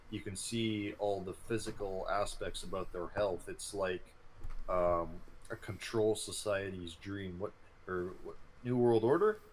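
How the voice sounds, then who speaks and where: A man co-hosting a gaming show, discussing gameplay casually in a halting, analytical, self-correcting way. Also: fairly low pitch. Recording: clearly noisy room ambience.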